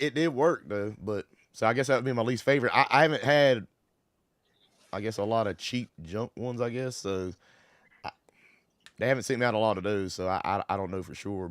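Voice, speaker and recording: A man hosting a casual livestream chat, voice hoarse from a cold, talking in a relaxed, rambling way. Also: fairly low pitch, no echo, studio quality. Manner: relaxed, rambling, Southern-inflected "y'all". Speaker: livestream host.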